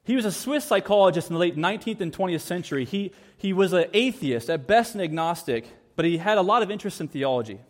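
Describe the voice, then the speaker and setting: A man's voice: high voice, animated, fast, few pauses. A man from the United States preaching a sermon in church, earnest and repeating key phrases for emphasis. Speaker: pastor.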